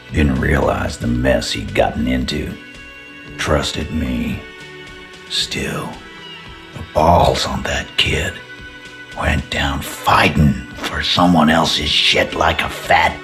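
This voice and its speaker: A very deep-voiced union tough, proud and grieving, with heavy gravel in the throat. He talks slowly and bluntly, swearing freely, like a battered game character with faint music behind him. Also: full character voice. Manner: slow, blunt, profane. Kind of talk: video game character acting.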